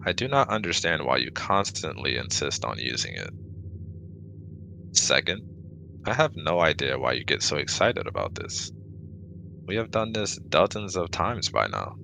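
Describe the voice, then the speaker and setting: A man's voice: very deep voice, big pitch swings, moderate pace, gravelly, background noise, full character voice. A man playing a stiff, formal alien scientist in a scripted ASMR roleplay, clinical and robotic in manner. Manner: formal, robotic, avoids contractions.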